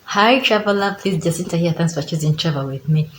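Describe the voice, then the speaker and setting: A woman's voice: lowish voice, fast, soft background music. A young Ugandan woman with a Ugandan accent, giving chatty travel advice to camera for her vlog, repeating herself and reading from notes. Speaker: travel vlogger. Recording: echoey room with faint ambience.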